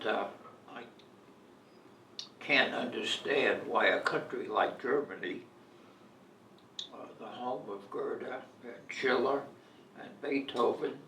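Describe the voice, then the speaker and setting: Slow, creaky-voiced elderly man reminiscing. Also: medium pitch, pushed and bright. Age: 80s or older. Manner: slow, halting, deliberate. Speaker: interviewee. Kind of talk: reminiscing, personal storytelling. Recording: echoey room, distant mic, faint noise.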